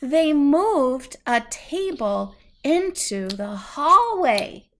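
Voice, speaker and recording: A woman's voice: high-pitched, big pitch swings, extremely slow, fairly bright tone. A woman reading a story aloud to a young child, patiently explaining things in simple, teacherly phrases.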